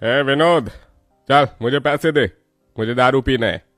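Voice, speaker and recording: A shameless drunken father , acted by a man, makes blunt demands in a cartoon tale. His medium-pitched voice is recorded dry, with faint music behind it. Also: a little slow, a bit bright, dry recording, close to an everyday voice. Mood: drunk, demanding.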